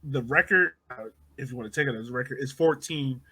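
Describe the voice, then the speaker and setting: A man's voice: mid-range voice, fairly quick, bright, forceful tone, husky, low-quality recording. A man hosting a casual sports podcast, talking conversationally and putting questions to his co-hosts. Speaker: podcast host.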